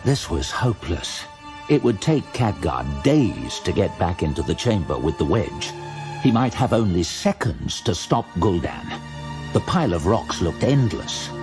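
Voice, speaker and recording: A man narrating a scripted fantasy audio drama in a dramatic storytelling style. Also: low-pitched.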